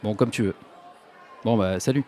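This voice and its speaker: A man's voice: fairly low pitch, normal speed, darkish tone, a faint crowd, natural voice. A man playing a cocky, reckless young schemer in a French audio drama, breezy, slangy and persuasive. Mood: eager, cocky.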